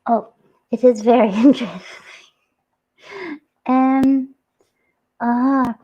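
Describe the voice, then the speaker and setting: Woman, stream host, teasing, giggly, slow-spoken, lo-fi audio. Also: a little high, steady tone, warm tone. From Scotland. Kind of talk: casual livestream chat.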